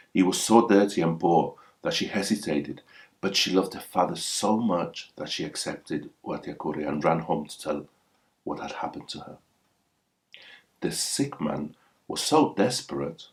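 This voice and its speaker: Low-pitched male folktale storyteller. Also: darkish tone. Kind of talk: scripted folktale narration.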